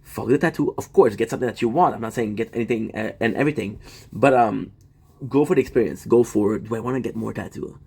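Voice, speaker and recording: A man's voice: lowish voice, quick pace, vocal fry, a little mellow, breathy edge. Guy livestreaming from home, rambles, restarts a lot, talks to chat. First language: French. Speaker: livestream host.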